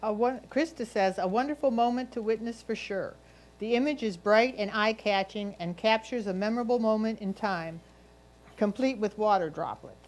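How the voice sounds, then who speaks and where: A woman reads prepared photo critiques aloud in the role of a competition reader. Her voice sits at a middle pitch for a woman. The delivery is that of someone voicing another person's written notes. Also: normal speed, a little mellow, rough recording. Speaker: reader of judges' comments.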